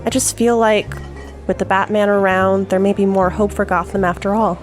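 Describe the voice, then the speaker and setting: Actress as a confident young socialite, music behind. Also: female voice, mid-range voice, steady tone, moderate pace, lightly acted. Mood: earnest, admiring. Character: lively, intelligent young socialite businesswoman, confident and friendly. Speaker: voice actor. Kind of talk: audio drama acting.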